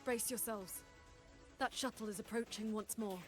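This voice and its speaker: A stoic female guardian speaks in formal, measured lines with a slightly high voice, as a woman acts her. Game music sits behind. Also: steady tone, natural voice. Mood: stern. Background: game score music.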